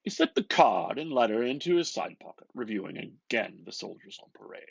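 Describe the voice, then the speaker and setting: Man, literary audiobook reader, theatrical, husky voice, lively swooping pitch, dry dull-edged recording. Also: fairly quick, dry recording. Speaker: reader of a novel. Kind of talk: expressive literary audiobook reading.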